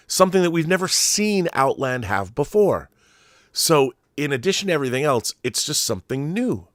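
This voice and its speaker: A man in his fifties hosting a film commentary show, talking to viewers in an emphatic, deliberate monologue with stressed pauses and repeated phrases. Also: medium pitch, fairly bright tone, no room sound, clean studio sound. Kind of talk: opinionated monologue to viewers about film collectibles.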